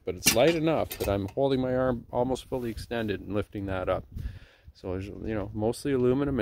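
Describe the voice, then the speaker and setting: A man giving a relaxed, chatty review outdoors in his backyard, with chuckles and fillers, filming on a handheld phone. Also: mid-range voice, a little hiss or hum. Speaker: homesteading vlogger. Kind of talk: casual outdoor product review.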